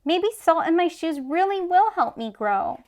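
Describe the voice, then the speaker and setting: A woman's voice: very high-pitched, lively intonation, a little slow, pitched above the performer's own voice. A woman voicing an earnest, eager young boy in a storybook. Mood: eager, curious. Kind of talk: storybook character voice.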